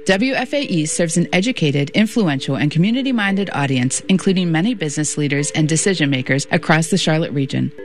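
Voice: mid-range voice, expressive, fairly quick, few pauses. An American-accented announcer reading a scripted promotional message for a radio station. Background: music.

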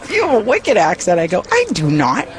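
A woman's voice: lowish voice, wildly swooping pitch, normal speed, poor audio. A woman with a thick Boston accent chatting casually in a street interview, outdoors. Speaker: audition hopeful, interviewee. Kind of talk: casual chat. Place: outdoors in a city street queue.

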